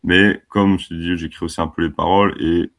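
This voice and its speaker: A man's voice: low-pitched, flat delivery, brisk, fairly bright tone. A man in his twenties from France, a band member chatting casually and laughing easily in an interview. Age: 20s. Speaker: band musician.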